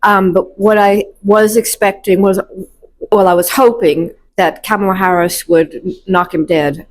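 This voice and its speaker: A woman's voice: medium pitch, steady tone, unhurried, low-quality recording. A woman from New York City answering an interviewer in a wry, confiding way. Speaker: event attendee interviewee.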